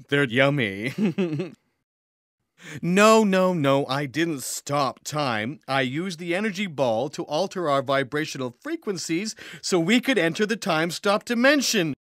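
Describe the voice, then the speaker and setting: A man's voice: on the high side, fairly bright tone, no room sound, studio quality. A man playing a gleeful, scatterbrained little male alien dance champion in a sci-fi audio comedy, easily distracted and prone to giggles. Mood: distracted wonder.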